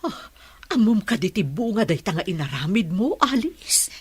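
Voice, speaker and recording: A woman's voice: mid-range voice, big pitch swings, normal speed, a little mellow, breathy edge, no room sound. An actress playing a stern, suspicious middle-aged mother in a radio drama, with an Ilocano accent. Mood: stern, shocked. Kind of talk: radio comedy-drama acting.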